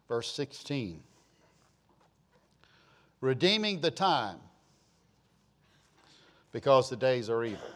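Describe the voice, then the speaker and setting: Slow-preaching pastor in his sixties. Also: medium pitch. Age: 60s. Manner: slow, repetitive preaching with rising emphatic swells. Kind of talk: sermon.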